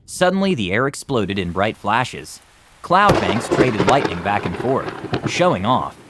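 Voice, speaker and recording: A man's voice: on the low side, big pitch swings, unhurried, heavy background noise. A man narrating a children's storybook expressively, as a storytime reader. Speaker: storytime reader, music club teacher.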